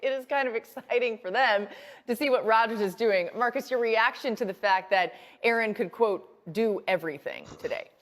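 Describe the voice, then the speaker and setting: Lively woman hosting a sports show. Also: on the high side, moderate pace. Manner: lively, playful. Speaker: host. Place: TV studio.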